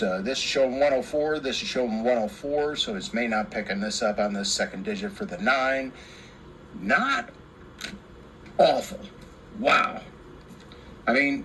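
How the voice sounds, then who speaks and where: A man's voice: mid-range voice, very animated, moderate pace, a bit bright, poor audio. A man presenting a casual hands-on radio repair, rambling and repeating himself, enthusiastic about the equipment. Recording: clear background noise.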